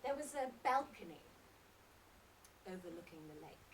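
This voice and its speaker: A woman's voice: lowish voice, very animated, normal speed. A woman playing a vain, defiant young society woman in a stage drama, with a theatrical, volatile delivery. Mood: cold confession. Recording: distant mic, faint background noise.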